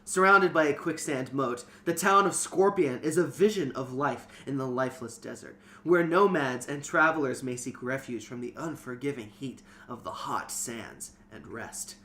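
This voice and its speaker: A man's voice: mid-range voice, moderate pace, hardly any pauses, pushed and bright. A man reading a fantasy novel aloud as narrator, in an informal scripted storytelling style. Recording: noticeable background noise.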